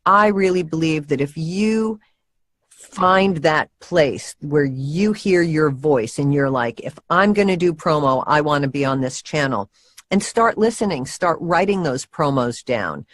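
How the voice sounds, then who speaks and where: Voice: medium pitch, expressive, unhurried, a bit bright, slight background noise. A voiceover coach giving casual, earnest advice, leaning on key words for emphasis.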